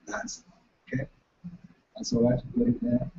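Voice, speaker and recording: A man with a big voice, teaching a ritual step by step in a lively way and switching between French and English as he explains. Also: a little slow, dull audio. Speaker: meditation teacher.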